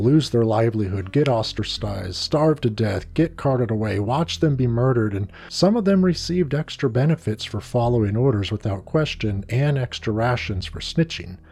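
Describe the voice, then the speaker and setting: A man's voice: mid-range voice, a little mellow, husky. A man playing a domineering, self-righteous male schoolteacher in a story, stern and emphatic, drawing out his words. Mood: grave lecturing. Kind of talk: scripted story dialogue.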